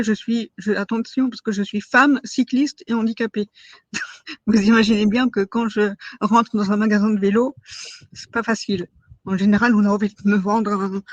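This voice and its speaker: A woman's voice: medium pitch, no echo. Hesitant woman in her forties, dull dry recording. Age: 40s. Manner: hesitant, many fillers and restarts, stops to stress words as "in quotes". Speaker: guest giving a testimony. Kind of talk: personal testimony in an interview.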